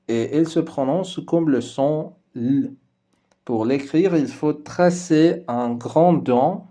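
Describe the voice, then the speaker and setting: A man's voice: on the high side, warm tone. A man whose native language is Persian, teaching a language lesson in French. He says each example word twice with care before giving its meaning. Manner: slow and careful, repeating each word twice before translating it.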